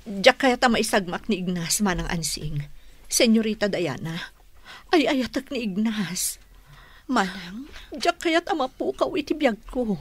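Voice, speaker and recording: A woman's voice: medium pitch, animated, measured pace, breathy edge, dry recording. An actress playing an anxious, lovesick woman who pleads in a radio drama. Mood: anxious, pleading. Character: anxious, lovesick woman fearing for her beloved.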